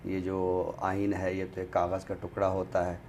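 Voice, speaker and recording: A man with a Pakistani accent, speaking as an expert guest on a literary talk show in a measured, explanatory way. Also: low voice, monotone, a little mellow, slight background noise. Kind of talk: conversational commentary on a talk show.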